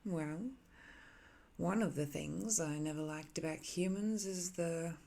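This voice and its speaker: Woman voicing an ancient evil lady for asmr roleplay, mellow n gravelly, haughty but smitten, teases softly. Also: sits low, exaggerated voice. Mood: condescending, approving. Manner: condescending, teasing, hesitant tender pauses. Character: ancient imprisoned female villain, haughty yet infatuated and flirtatious. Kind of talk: intimate ASMR roleplay acting.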